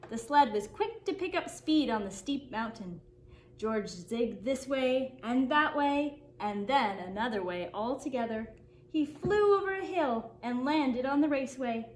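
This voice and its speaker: A woman with a high voice reads a picture book aloud for young children in a roomy, echoing space. She tells it expressively and deliberately, taking her time like a kindergarten teacher at story time, with faint noise behind her. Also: lively intonation.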